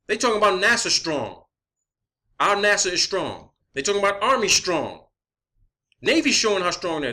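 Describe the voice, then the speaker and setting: A man's voice: high voice, bright, forceful tone. A man delivering a confrontational, blunt, profanity-heavy rant as an online video creator. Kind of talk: profane spoken rant to camera or viewers.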